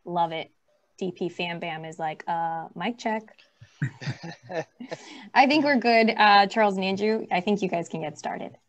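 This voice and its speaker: A woman's voice: on the low side, a little slow, pauses often, dull audio. Bubbly woman moderating an online event. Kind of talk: casual chat.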